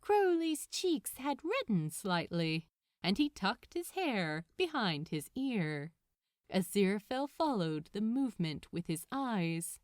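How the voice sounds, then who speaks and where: A woman narrates a story with lively, varied intonation. Her pace is slow and measured, and the recording is close and dry with little room sound. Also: fairly high pitch, no room sound. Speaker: fan fiction narrator.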